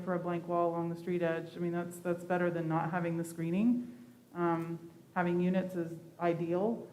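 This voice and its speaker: A woman giving an unscripted staff response at a meeting, measured and hedging in her phrasing. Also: on the low side, darkish tone, rough recording. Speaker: planning staff member. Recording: meeting audio with faint background noise.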